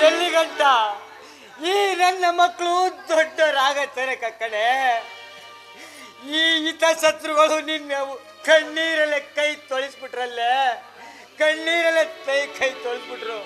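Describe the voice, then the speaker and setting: A man plays an anguished father whose home is breaking apart. He speaks in a high, bright voice at a slow, heavy pace. Soft music runs underneath. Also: full character voice. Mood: pleading. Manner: anguished, pleading melodramatic delivery. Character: grieving older father Gunashekhara, head of family. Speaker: actor. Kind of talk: Kannada film drama acting.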